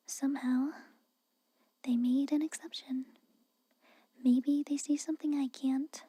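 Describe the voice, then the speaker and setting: Woman acting a whispering, high-voiced tsundere maid. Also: dry recording. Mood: wary, puzzled. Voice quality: soft, breathy whisper. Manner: hesitant, halting, grudging, cautioning. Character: tsundere castle maid, guarded, haughty, secretly caring. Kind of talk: whispered ASMR roleplay. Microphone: close microphone.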